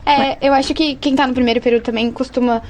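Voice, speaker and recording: A woman's voice: high voice, steady tone, fast talker, darkish tone, a little hiss or hum. A young Brazilian woman telling personal stories casually and informally as a podcast guest. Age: young adult. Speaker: advertising student, podcast guest. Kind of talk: casual conversational storytelling.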